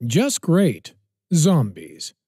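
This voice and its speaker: Slow, mellow male dramatic announcer. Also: fairly high pitch, huge pitch swings, slightly rough, no room sound, clean studio sound. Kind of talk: dramatic scripted narration, title and credits.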